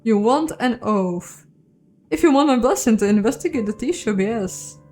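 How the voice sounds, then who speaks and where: Woman voicing a brisk, officious magistrate lord. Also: on the high side, expressive, darkish tone, soft background music, lightly acted. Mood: grave, insistent. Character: fussy, self-important male magistrate lord, distrustful and demanding. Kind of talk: video game character reading.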